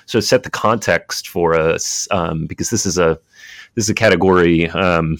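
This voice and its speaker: A man's voice: deep voice, normal speed. A man hosting an academic interview podcast, speaking with frequent hesitations and repeated words. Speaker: podcast host.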